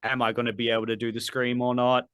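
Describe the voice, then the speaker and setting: A man's voice: mid-range voice, completely flat delivery, fast, fairly bright tone, smooth, no echo. Rambling man, dry close sound. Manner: rambling, filler-heavy, swears casually. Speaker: podcast guest, metal vocalist. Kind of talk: casual interview chat.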